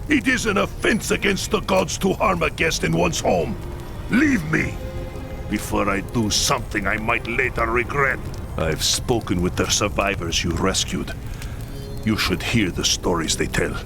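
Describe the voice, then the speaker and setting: An actor playing a bitter, vengeful middle-aged clan leader in a video game, heated and dramatic, with a gravelly voice. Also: male voice, mid-range voice, measured pace. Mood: bitter anger. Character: bitter, vengeful human clan leader, grieving husband and father. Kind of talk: video game cutscene acting. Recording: loud music behind.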